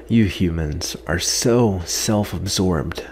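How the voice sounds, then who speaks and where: Guy doing a proud jaded vampire for asmr roleplay, soft n dry, really slow deep voice, some noise behind it. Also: exaggerated voice. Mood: scornful, exasperated. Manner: soft-spoken, dry, sighing, disdainful. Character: proud, sardonic captive male vampire, wearily defensive. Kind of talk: ASMR roleplay, acted monologue.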